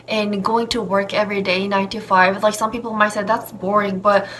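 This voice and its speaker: A woman's voice: medium pitch, flat delivery, normal speed, fairly bright tone, a little hiss or hum. A young woman from Korea, a native Korean speaker talking in English, reflecting in a casual, chatty vlog with lots of filler words and restarts. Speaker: vlogger.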